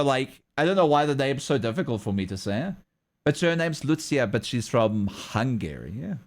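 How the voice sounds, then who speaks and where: Chatty South African streamer. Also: male voice, fairly high pitch. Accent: South African English, mixed with Australian and American. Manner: rambling, chuckling often, talks back to chat. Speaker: streamer and artist. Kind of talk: casual livestream chat.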